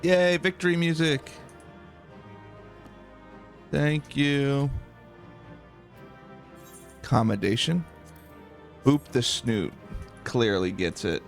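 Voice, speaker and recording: A man talks through a video game at an easy, unhurried clip. His voice sits in a middle register with a noticeable fry, and he is softly playful. Game music plays behind him. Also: medium pitch. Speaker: video game streamer, let's player. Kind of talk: calm, slow-paced gameplay chat with read-aloud game text.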